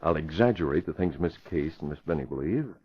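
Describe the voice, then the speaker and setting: An American man playing a calm, decisive publisher and secret vigilante in an old-time radio mystery, measured and commanding. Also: extremely low pitch, breathy edge, full character voice. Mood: calm, scheming. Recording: dull vintage radio recording.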